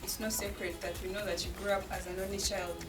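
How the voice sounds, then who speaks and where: A woman's voice: mid-range voice, little pitch movement, fast talker. A woman asking questions conversationally as the presenter of an interview. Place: studio. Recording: roomy echo, music behind.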